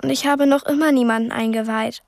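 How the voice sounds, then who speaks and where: A woman's voice: fairly high pitch, fairly even intonation, a little fast, creaky, a little mellow. An actress playing an earnest, urgent twelve-year-old girl in a radio play. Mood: anxious, earnest.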